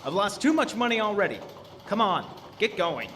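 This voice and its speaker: An actor playing a pushy, scornful oil rig boss in a bossy, needling old-time radio drama style. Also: male voice, sits high, very animated, moderate pace, pushed and bright, a little hiss or hum, heavily acted. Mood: impatient, scornful.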